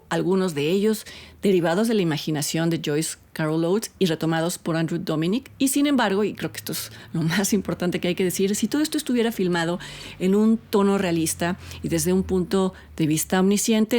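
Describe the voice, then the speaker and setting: A woman film critic hosting a review segment, talking in a measured, reflective way with the odd filler. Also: medium pitch, darkish tone. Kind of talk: scripted film review commentary.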